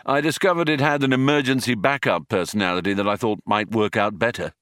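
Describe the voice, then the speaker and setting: A man voicing a brash, impatient male spaceship adventurer in a comic science-fiction audiobook, forceful and dismissive. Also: lowish voice, fast talker, no echo, studio quality, lightly acted. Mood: explaining.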